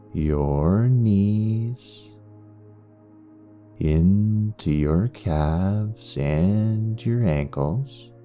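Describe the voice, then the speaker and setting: Very slow, deep-voiced male meditation guide. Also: warm tone. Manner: soothing, unhurried, with long pauses. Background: background music.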